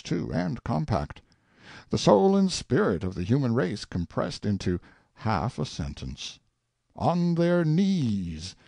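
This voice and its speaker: A man's voice: medium pitch, darkish tone, dry recording, pitched above the performer's own voice, exaggerated voice. A man voicing a haughty, self-pitying tyrant king who muses aloud with pompous sardonic scorn in an audiobook monologue. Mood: scornful musing. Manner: pompous, theatrical, sardonic musing.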